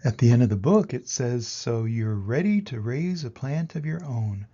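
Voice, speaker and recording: An older man reading a children's picture book aloud in an expressive way, with dramatic pauses and emphasis. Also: medium pitch, dull audio. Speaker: reader of children's picture books. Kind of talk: animated storybook read-aloud.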